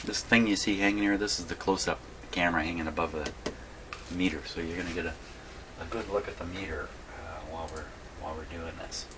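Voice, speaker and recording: A man's voice: deep voice, fairly even intonation, a little fast, heavy background noise. An older man giving a casual workshop demonstration, thinking aloud in a rambling, self-deprecating, folksy way. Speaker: vintage radio repair hobbyist presenting a video. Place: indoor radio repair workshop.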